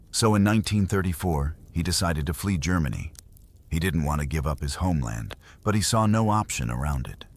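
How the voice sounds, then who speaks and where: Deep-voiced guy, kinda husky, just talking through a history story nice and easy. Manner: unhurried, conversational explaining. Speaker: history narrator.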